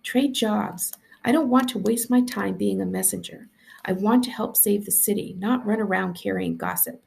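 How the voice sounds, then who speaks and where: A woman's voice: mid-range voice, moderate pace, creaky, darkish tone. A woman reading a storybook aloud as an agitated, defiant schoolboy, urgent and insistent. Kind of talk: storybook dialogue read aloud.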